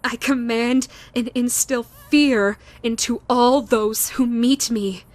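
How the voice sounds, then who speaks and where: A woman playing a female yokai ghost in an audio roleplay, theatrical and deliberate, menacing yet easily flustered. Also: a little high, fairly even intonation, darkish tone. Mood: threatening. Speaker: voice actress. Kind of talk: audio roleplay, character speaking to listener.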